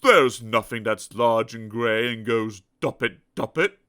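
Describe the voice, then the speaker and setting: A man doing a booming, mocking storybook elephant. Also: a bit bright, natural voice. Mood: worried. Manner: slow, booming, drawn-out, mocking. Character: mocking clever male elephant, proud and loud.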